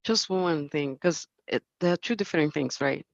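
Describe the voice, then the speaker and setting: Brazilian woman, gravelly earnest panelist. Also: low voice, normal speed, dry recording, highs cut off. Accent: Brazilian Portuguese. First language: Portuguese. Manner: earnest, searching for English words. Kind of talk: panel discussion.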